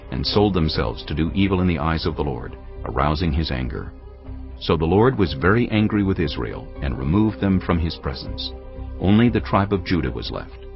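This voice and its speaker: A man narrating dramatised scripture from a script in a grave, measured, dramatic manner. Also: very deep voice, gravelly. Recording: dull audio, music underneath.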